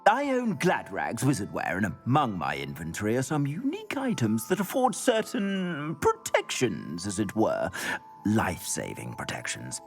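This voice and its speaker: A man playing a grateful, florid and courteous clothier in a video game. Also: mid-range voice. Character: grateful, theatrical male shopkeeper and clothier. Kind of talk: video game character acting.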